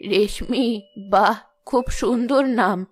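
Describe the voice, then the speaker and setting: A woman's voice: mid-range voice, takes it slowly, music in the background, close to an everyday voice. A woman voicing a poor, tender elderly village grandmother in a cartoon fairy tale. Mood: welcoming. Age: older.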